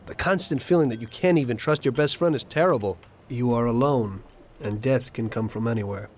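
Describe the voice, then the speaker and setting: A man's voice: medium pitch, fairly quick, a little mellow, a little hiss or hum, lightly acted. Understated mob gunman played by an actor. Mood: somber, reflective. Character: weary, uneasy but loyal Mafia gunman. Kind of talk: scripted video game dialogue.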